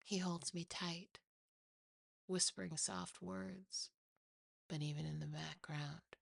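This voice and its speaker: Slow, breathy woman narrating horror. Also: deep voice, fairly bright tone, dry recording, studio quality. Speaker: narrator, ASMR performer. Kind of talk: scripted first-person horror narration, ASMR. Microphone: close, dry mic.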